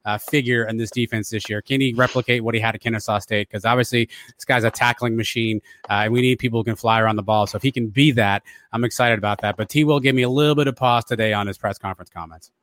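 A man with a raspy voice and an American accent, giving casual sports analysis as a podcast co-host. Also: medium pitch, steady tone, fast, a bit bright, a little hiss or hum.

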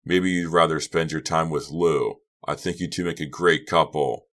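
A man's voice: rumbling low, fairly even intonation, moderate pace, fairly bright tone. A man voicing a macho, short-tempered young man in an audiobook, speaking emphatically and defensively. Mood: snappish, defensive. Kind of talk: audiobook character voice.

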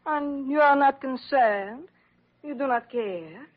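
An actress playing a fussy, earnest French woman in a classic radio drama, speaking French-accented English and halting as she searches for words. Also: high-pitched. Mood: earnest, concerned. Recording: dull vintage radio recording.

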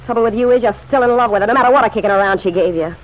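A woman's voice: fairly high pitch, rapid-fire, close to an everyday voice. An actress playing a bitter, pleading, lovelorn city woman in a vintage radio drama, blunt, slangy and insistent. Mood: bitter, angry. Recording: dull, noisy old radio recording.

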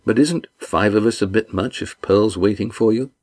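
A man voicing a steady young man who gives orders politely. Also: on the low side, moderate pace, no room sound, studio quality, lightly acted. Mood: gentle. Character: young man, a calm ship's leader.

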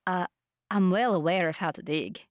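A woman playing an earnest, erudite and gentle creature in human form in a fantasy audio drama, speaking formally and precisely. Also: fairly low pitch, normal speed, background noise, natural voice. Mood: slightly affronted. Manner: formal, precise, fussily caring.

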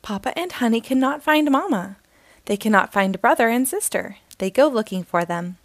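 Lively woman reading a kids' book. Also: on the high side, dry recording. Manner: slow, expressive, sing-song reading. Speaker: storytime host reading aloud. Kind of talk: children's picture book read-aloud.

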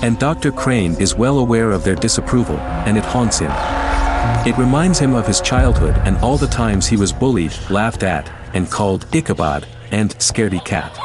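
A man narrating a scripted audio drama in a measured, descriptive, steady way. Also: lowish voice, music in the background, natural voice.

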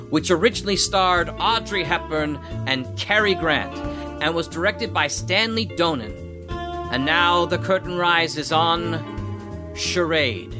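A man hosting a radio theater show, giving a formal, showman-like scripted introduction. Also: high voice. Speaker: host.

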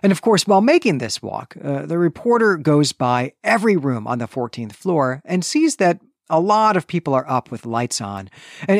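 A man's voice: a little high, no room sound, studio quality. A man co-hosting a podcast, recapping and discussing a story in a conversational, storytelling way with rhetorical asides. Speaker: podcast co-host.

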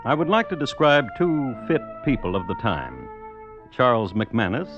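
A man narrating an old-time radio drama in a formal, deliberate storytelling style. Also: mid-range voice, very animated. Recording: muffled old radio recording. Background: faint music.

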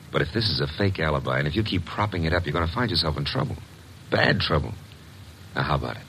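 A man's voice: a bass voice, lively intonation, fast talker, vocal fry, a bit bright, slight background noise, full character voice, low-quality recording. An actor playing a wisecracking male assistant to a detective, talking in a brash, breezy, streetwise way in 1940s radio style.

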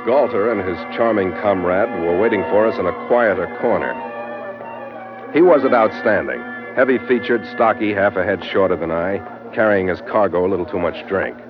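An actor playing a tough, sardonic ship's captain in an old-time radio adventure, wry and hard-boiled. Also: male voice, low voice, moderate pace, lightly acted. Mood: wry storytelling. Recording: muffled vintage radio recording with music.

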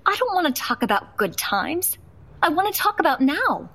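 A woman's voice: high voice, big pitch swings, normal speed, a bit bright, exaggerated voice. An actress playing a fed-up young wife and mother, blunt and confrontational, in a naturalistic audio drama. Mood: insistent.

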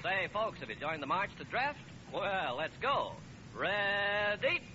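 A male announcer in the style of 1940s radio, delivering scripted copy. His voice is high and very animated. The broadcast recording is muffled and noisy. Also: slow pace.

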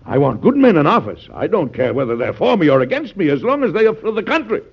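An actor playing a principled, stubborn American president in an old-time radio drama, with an earnest, formal, declamatory manner. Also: male voice, a little high, sing-song, quick pace, husky, full character voice. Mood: earnest, resolute. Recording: muffled vintage radio recording.

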